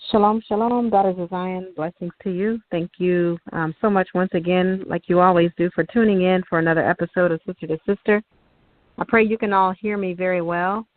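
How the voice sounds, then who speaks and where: A woman's voice: on the low side, fairly even intonation, fairly quick. A woman co-hosting a religious women's show, welcoming listeners in a friendly way with some ums. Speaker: co-host. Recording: tinny phone-line audio, distant.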